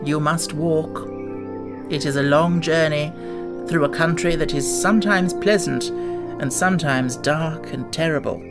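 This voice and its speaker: A man's voice: fairly high pitch, a little slow, over loud music. A man voicing a kindly little old witch woman in an audiobook, solemn and reassuring in manner. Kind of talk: audiobook character voice.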